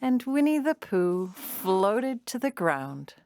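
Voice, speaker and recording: A woman narrating a children's storybook audio drama in an expressive storytelling manner. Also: medium pitch, slow pace, very noisy.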